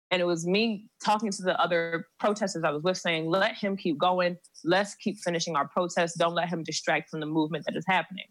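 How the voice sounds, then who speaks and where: A woman guest on a podcast, telling a personal story in a measured way with many pauses. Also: fairly low pitch, no echo, rough recording. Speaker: seminary student. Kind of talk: recounting a personal story in conversation.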